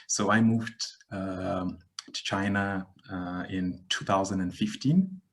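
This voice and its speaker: Low, flat-voiced man from Zimbabwe. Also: a little hiss or hum. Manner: measured, reflective, frequent "uh" pauses. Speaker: guest, digital transformation expert. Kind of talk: podcast interview answer.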